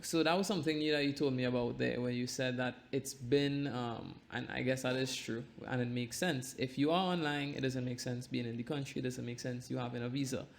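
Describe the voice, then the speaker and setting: A man's voice: mid-range voice, steady tone, fairly quick, creaky, few pauses, slight background noise. A man hosting a podcast in a casual interview style. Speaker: podcast host.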